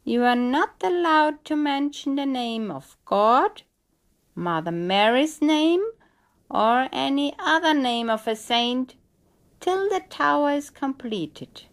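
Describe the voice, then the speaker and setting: A woman's voice: sits high, expressive, slow, pitched above the performer's own voice, exaggerated voice. A woman voicing a sly little devil man in a storybook tale, coaxing and full of mock sympathy. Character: little strange green-suited devil man, sly tempter. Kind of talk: storybook character voice.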